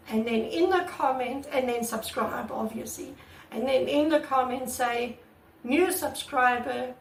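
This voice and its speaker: A woman artist with a croaky voice, talking casually and earnestly to her channel's viewers, recorded on a camera mic without a proper microphone. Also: on the high side, distant mic, slight background noise. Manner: earnest and repetitive, many restarts. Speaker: artist vlogger. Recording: echoey room, away from the mic.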